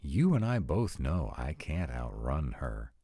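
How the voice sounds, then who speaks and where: A man acts out a sardonic male vampire in a roleplay audio drama, with an extremely low voice that swings expressively up and down. He takes his time over each exasperated, dryly emphatic line, recorded close and dry. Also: big pitch swings, slow pace, mellow, breathy edge, no room sound, heavily acted. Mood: anxious, sardonic. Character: sarcastic male vampire, exasperated, anxious about in-laws. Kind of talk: scripted roleplay audio monologue to a partner.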